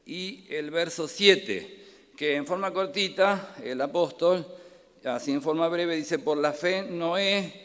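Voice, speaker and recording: Argentine pastor from Córdoba, hesitant, reverberant hall. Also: male voice, a little high. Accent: Argentine Spanish, Córdoba. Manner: gentle, warm-hearted, many filler "eh" pauses. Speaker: pastor and Bible professor. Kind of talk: sermon. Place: large church hall.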